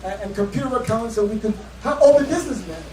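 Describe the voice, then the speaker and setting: High-voiced rambling man on a club stage. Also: expressive, quick pace, darkish tone, recorded from a distance. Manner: rambling, run-on sentences full of fillers. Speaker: open-mic comedian. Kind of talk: rambling stand-up set and panel chat. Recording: roomy club sound from afar with crowd.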